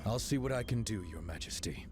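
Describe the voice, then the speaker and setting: A man's voice: lowish voice, expressive, brisk, background noise, lightly acted. A man voicing a young alien refugee healer in a video game, casual and wry. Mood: reassuring. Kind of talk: scripted video game acting.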